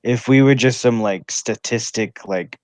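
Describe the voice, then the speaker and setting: A man talking casually with friends on a livestream, halting and full of fillers. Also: medium pitch, a little mellow, clean tone, no room sound. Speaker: livestream gamer. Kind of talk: casual chat.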